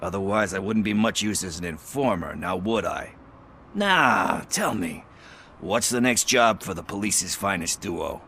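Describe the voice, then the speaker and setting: An actor playing a cocky street punk turned police informer in a video game, casual, sardonic and slangy. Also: male voice, low-pitched, expressive, a little slow, pushed and bright, a little hiss or hum, heavily acted. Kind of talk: dramatic video game dialogue.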